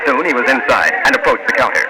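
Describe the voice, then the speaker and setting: A man's voice: mid-range voice, animated, fast talker, over a noisy crowd, close to an everyday voice. A man narrating a drama in a scripted 1940s radio style. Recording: very noisy old radio broadcast recording.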